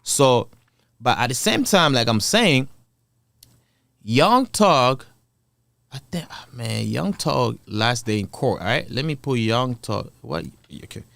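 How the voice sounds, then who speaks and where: A man's voice: medium pitch, animated, takes it slowly, vocal fry, fairly bright tone, dry recording. A man with an African accent hosting a casual commentary channel, reacting to clips and thinking aloud with many hesitations.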